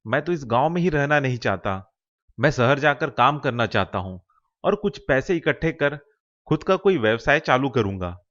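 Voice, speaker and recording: A man's voice: mid-range voice, expressive, normal speed, a bit bright, lo-fi sound, natural voice. A man playing an ambitious young village man in a cartoon story, persuading a friend in an earnest way. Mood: earnest, persuasive. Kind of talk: Hindi cartoon moral-story voice acting.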